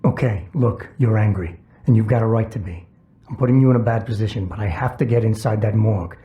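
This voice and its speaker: Game lead, male actor: gruff augmented agent, low pitch, terse, dry and sardonic. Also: brisk, muffled recording, natural voice. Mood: firm, controlled. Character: stoic, gruff cybernetically augmented male security operative, sardonic. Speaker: video game character. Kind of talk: cinematic game dialogue.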